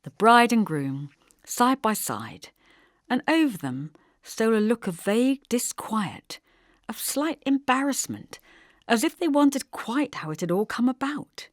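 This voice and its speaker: A woman narrating a literary novel as an audiobook, in a measured, expressive storytelling manner. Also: a little high, no room sound, clean studio sound.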